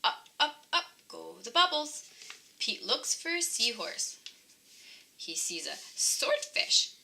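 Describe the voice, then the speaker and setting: A woman reading a children's picture book aloud, with expressive, sing-song emphasis for young listeners. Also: a little high, slow, gravelly, slight background noise. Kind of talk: scripted storybook narration.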